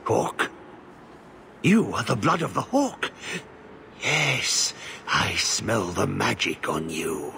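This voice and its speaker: An actor playing a crazed old corrupted prison dweller in a fantasy game, muttering in broken, repetitive sentences with a gravelly croak. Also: male voice, on the low side, lively intonation, slow, long pauses, pushed and bright, slight background noise, full character voice. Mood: eerie, fixated. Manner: broken sentences, muttering, repeating phrases, erratic. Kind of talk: dramatic fantasy game acting.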